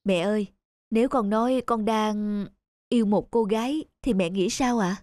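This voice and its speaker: A woman voicing an earnest, worried young father in an animated moral tale, with a soft delivery. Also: medium pitch, moderate pace, no room sound, lightly acted.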